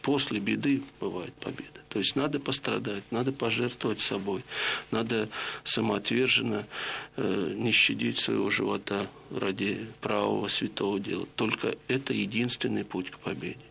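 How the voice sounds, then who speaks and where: A middle-aged man with a low, heavily gravelly voice that still carries a forceful, bright edge. He lectures in a measured, explanatory way, often pausing on hesitations. A faint hiss sits under the voice. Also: steady tone. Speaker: lecturer on radio. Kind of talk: reflective spoken lecture to listeners.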